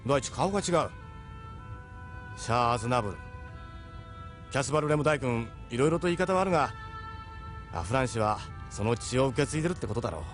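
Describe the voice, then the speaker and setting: A man's voice: mid-range voice, animated, fast, pauses often, soft background music, natural voice. A man voicing a seasoned, middle-aged ship captain in an audio drama, persuasive, frank and worldly. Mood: musing, explanatory. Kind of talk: Japanese audio drama acting.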